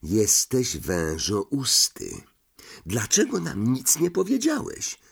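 Man acting out slow, gravelly, accusing schoolboy Ron. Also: fairly low pitch, big pitch swings, exaggerated voice. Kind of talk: audiobook character acting.

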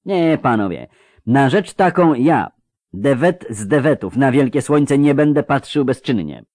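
A man's voice: medium pitch, expressive, measured pace, a little mellow, dry recording, voice pushed up. Storybook nobleman, proud dynastic zealot, grating and indignant, male narrator acting, dry recording. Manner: indignant, haughty.

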